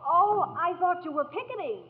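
A woman's voice: very high-pitched, moderate pace. An actress in a 1940s radio drama playing a teasing, self-possessed small-town young woman. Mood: coy, teasing. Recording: reverberant, narrow old radio recording.

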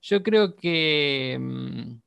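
Gravelly-voiced Argentine man. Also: fairly high pitch, animated, extremely slow, fairly bright tone, no room sound. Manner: agreeable, lots of "claro" and "sí". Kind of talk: casual chat.

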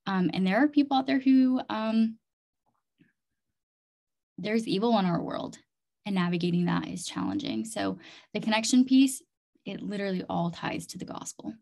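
A woman's voice: mid-range voice, normal speed, gravelly, long pauses, darkish tone. A woman from Thailand and North Dakota speaking as an interview guest, telling personal stories earnestly and emphatically, with lots of "like" and "literally". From Chiang Mai, Thailand and North Dakota. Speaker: interview guest, community development leader and leadership coach.

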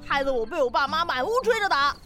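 A woman voicing a brash little bully of a boy in an audio drama, shouting and taunting. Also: high voice, animated, quick pace, soft background music. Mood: furious, threatening. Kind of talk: Chinese audio drama acting.

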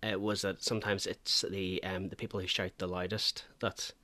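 A man's voice: sits low, level tone, normal speed, pushed and bright, dry recording. A man hosting an interview, talking earnestly and hesitantly with many fillers. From Northern Ireland. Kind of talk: conversational interview.

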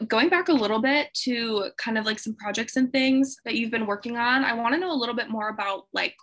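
A woman's voice: a little high, brisk, a bit bright. A woman interviewer asking a question in loose, casual phrasing, with many fillers.

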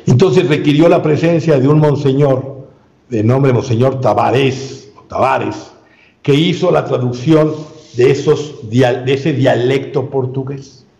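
A man speaking as an interview guest, giving a long measured explanation with frequent filler sounds and restarts. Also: mid-range voice, vocal fry, warm tone. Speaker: guest researcher. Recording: roomy, dull lo-fi sound.

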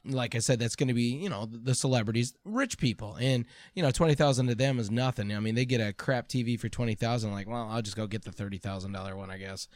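A man's voice: mid-range voice, fast talker, hardly any pauses, a little mellow, dry recording. A man co-hosting a podcast with casual, joking conversational talk. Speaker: podcast co-host.

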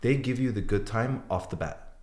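A man's voice: medium pitch, lively intonation, moderate pace, darkish tone, echoey room. A young man, born in Iran, telling his story as a podcast guest, blunt and swearing freely. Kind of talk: podcast interview.

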